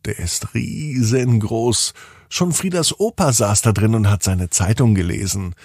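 Deep-voiced man telling a bedtime story. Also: darkish tone, slightly rough, no room sound, studio quality. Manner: deliberate, playful, with drawn-out words and dramatic pauses. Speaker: children's podcast storyteller. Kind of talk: expressive bedtime story narration for children.

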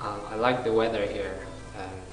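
A man's voice: lowish voice, little pitch movement, normal speed. Hesitant young man from Taipei. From Taipei, Taiwan. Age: young adult. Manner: hesitant, many fillers. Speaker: student. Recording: echoey hall with background music.

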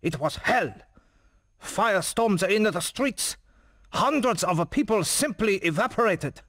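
A man's voice: sits high, lively intonation, a little slow, a bit bright, dry recording, studio quality, full character voice. A man playing a shaken, suspicious disaster survivor in a dramatic video game scene. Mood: grave, haunted.

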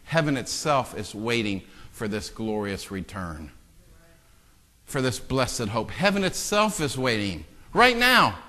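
Impassioned pastor, bright voice, long reverb. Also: male voice, mid-range voice, sing-song, measured pace. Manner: swings from hushed asides to loud impassioned preaching; self-deprecating joking with the congregation. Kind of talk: sermon. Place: church sanctuary. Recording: long church-hall reverb.

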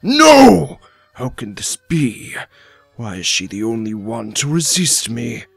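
Cartoon villain master for a male actor: slow, gravelly, imperious, wrathful, dry recording. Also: mid-range voice, wildly swooping pitch, dry recording, soft background music, heavily acted. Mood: dismayed. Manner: imperious, threatening. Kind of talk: fan comic dub acting.

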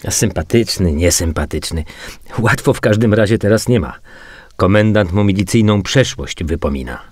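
An actor playing a weary, diplomatic police detective in a naturalistic radio crime drama, speaking haltingly. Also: male voice, on the low side, animated. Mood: mildly irritated. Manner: hesitant, measured, halting.

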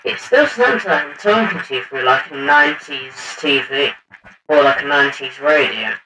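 A man's voice: mid-range voice, lively intonation, normal speed, low-quality recording. A man hosting a gaming video, chatting casually and self-deprecatingly to viewers as he plays. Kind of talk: casual gameplay commentary. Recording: quiet mic, music behind.

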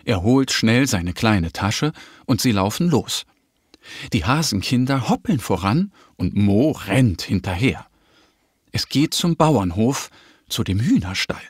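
A man narrating a scripted children's story in a careful, expressive way. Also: medium pitch, slightly rough, no echo, clean studio sound.

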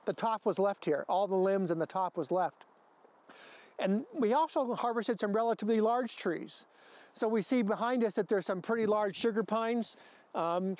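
A man's voice: high voice, a little mellow. An American man in his fifties, a researcher giving an educational explanation to camera outdoors. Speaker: forest researcher. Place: outdoors in a forest clearing.

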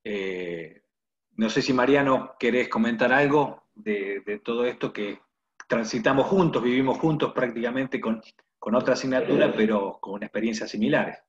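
A man's voice: mid-range voice, moderate pace, husky. A man, a university lecturer wrapping up his talk on a video call, loose and filler-heavy. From Argentina. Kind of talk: wrapping up a presentation. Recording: dull lo-fi video call audio.

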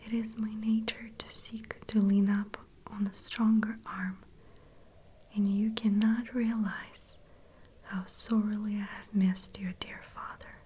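A woman whispering a gentle, pleading widowed mother. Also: mid-range voice, level tone, slow pace, slight background noise, lightly acted. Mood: tender. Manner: soft whisper. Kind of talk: whispered ASMR story reading.

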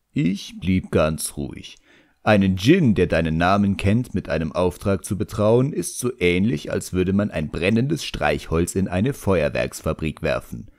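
A man's voice: low-pitched, darkish tone, no room sound, clean studio sound. A man narrating an audiobook story in the first person with a wry, scripted delivery.

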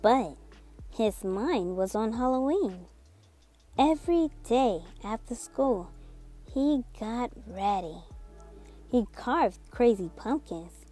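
A woman reading a children's book aloud in an expressive, dramatic storytelling manner. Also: a little high. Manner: expressive, with drawn-out dramatic words and sound effects. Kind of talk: animated read-aloud storytelling. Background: music.

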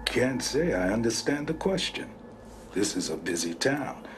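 Folksy American mailman, enigmatic and weary, unhurried, swooping intonation, game dialogue over music. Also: medium pitch, sing-song. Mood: folksy, knowing. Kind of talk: video game acting. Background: background music.

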